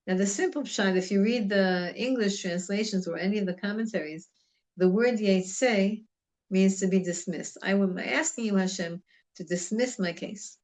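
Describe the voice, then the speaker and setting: A woman's voice: mid-range voice, normal speed, a little mellow. A woman teaching an informal religious class on the Psalms, talking in a conversational, digressive way and mixing Hebrew terms into her English. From United States.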